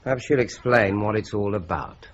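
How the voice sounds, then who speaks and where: Guy playing a calm dry detective inspector, low husky voice, muffled old radio play sound. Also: close to an everyday voice. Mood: steady. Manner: measured, dry and confident. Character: steady, methodical male police inspector. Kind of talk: 1950s-style radio drama acting. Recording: dull, muffled vintage radio recording.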